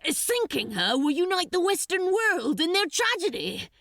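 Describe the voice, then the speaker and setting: A woman's voice: very high voice, big pitch swings, normal speed, gravelly, a bit bright, no echo, studio quality, pitched above the performer's own voice, heavily acted. A woman voicing a desperate, flustered male demon in an audiobook, stammering and protesting in a rush. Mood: desperate pleading. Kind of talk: dramatized fan fiction audiobook reading.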